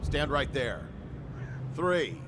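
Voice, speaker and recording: A man's voice: on the high side, big pitch swings, extremely slow, over loud music, heavily acted. A man voicing a formal, businesslike duel official making announcements in a video game. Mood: formal, brisk.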